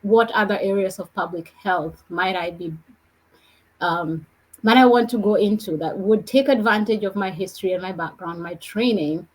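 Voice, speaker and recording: A woman from Kenya, a guest on a podcast, telling her story in a reflective, measured way. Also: mid-range voice, husky, rough recording. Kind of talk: podcast conversation.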